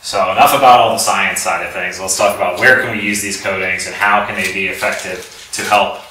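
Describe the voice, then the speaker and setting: Echoey, bright-voiced man lecturing, low pitch. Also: steady tone, brisk. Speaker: company executive giving a product lecture. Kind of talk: informal technical lecture with audience questions. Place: indoor lecture room with audience. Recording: very echoey room, distant mic, faint noise.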